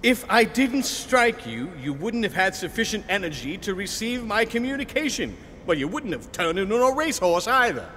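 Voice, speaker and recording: An exasperated cosmic lightning being, acted by a man, with a high voice that swoops theatrically. He lectures with impatient sarcasm in a comic sci-fi radio play, drenched in long reverb. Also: big pitch swings, moderate pace, few pauses, sharp tone, exaggerated voice. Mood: explaining impatiently. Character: exasperated talking lightning, an otherworldly life force. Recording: long reverb, faint background noise.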